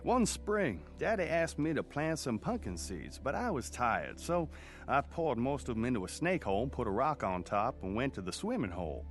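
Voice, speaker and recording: Animated, folksy storyteller. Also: male voice, mid-range voice, normal speed, faint music behind. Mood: reminiscing. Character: plainspoken country man recalling his hill boyhood. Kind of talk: dramatized first-person radio narration.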